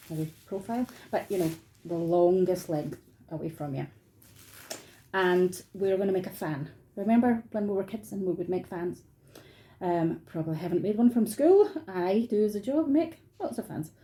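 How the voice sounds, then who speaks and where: A woman's voice: on the low side, mellow, a little hiss or hum, low-quality recording. A middle-aged woman with a Scottish accent, chatting informally and encouragingly as she teaches an online craft workshop from her dining room. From Scotland. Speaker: art workshop tutor.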